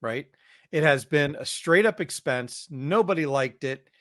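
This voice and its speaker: Man, podcast co-host, opinionated, emphatic, fairly high voice, dry close sound. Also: lively intonation, measured pace, fairly bright tone, no room sound. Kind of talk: casual chat.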